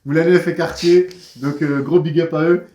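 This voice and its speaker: A man hosting a casual interview, quick and chatty with many fillers and restarts. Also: on the high side, steady tone, mellow, roomy sound. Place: outdoors in a neighbourhood. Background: friends chanting nearby.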